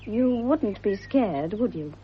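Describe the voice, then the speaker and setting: An actress playing a kindly, confident young gentlewoman, polite and coaxing, in a 1950s British radio drama. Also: medium pitch, animated, slow. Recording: muffled, slightly noisy vintage radio recording.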